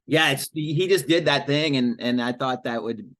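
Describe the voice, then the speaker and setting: A man's voice: medium pitch, moderate pace, a bit bright. A man who plays in a band, rambling and searching for his words in a casual podcast chat. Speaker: musician in a band.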